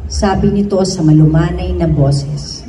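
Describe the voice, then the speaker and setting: A woman giving a serious, measured dramatic reading of a story at a staged event. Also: lowish voice, warm tone. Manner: measured, serious, deliberate phrasing. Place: event room before an audience. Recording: echoey room, distant mic, clear noise.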